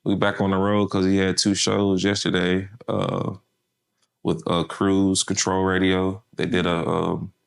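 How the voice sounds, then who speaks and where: A man's voice: low-pitched, monotone, unhurried, creaky, smooth. A man from Memphis, an artist manager chatting casually in an interview, laid-back and slangy with lots of fillers.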